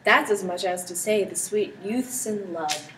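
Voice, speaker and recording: Credulous young Shakespearean count, played by a student girl, medium pitch, amateur stage verse, distant recording. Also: expressive, unhurried, fairly bright tone. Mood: teasing. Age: teenager. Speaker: student performer. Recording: distant mic with background noise.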